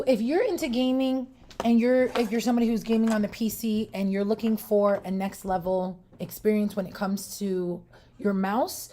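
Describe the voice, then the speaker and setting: A woman with a Puerto Rican accent chats her way through a live product review. Her voice is warm and mellow, sitting in a middle pitch. She talks loosely, with fillers, restarts and friendly asides to her viewers. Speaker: product reviewer on a shopping livestream.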